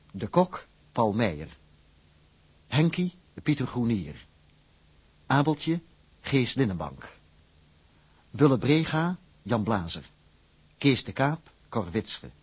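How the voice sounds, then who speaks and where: A man announcing scripted closing credits for a radio drama in a formal, measured manner. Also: medium pitch, slightly rough.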